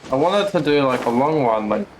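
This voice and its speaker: A man's voice: mid-range voice, expressive, fairly quick, a little hiss or hum, low-quality recording. A man giving casual, grumbling, sometimes sweary commentary while streaming a video game.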